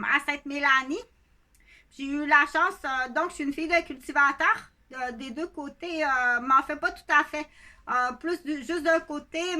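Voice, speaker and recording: A woman's voice: sits high, sharp tone. A woman in her fifties with a Québécois accent, talking casually to her viewers in a hesitant, rambling way full of filler sounds. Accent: Québécois French. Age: 50s. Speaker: video blogger. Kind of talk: casual talk to camera.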